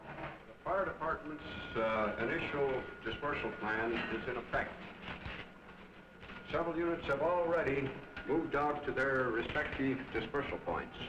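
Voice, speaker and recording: A man's voice: medium pitch, unhurried. A man with an American accent gives a formal status report as a fire chief. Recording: echoey, distant, dull, noisy old film sound.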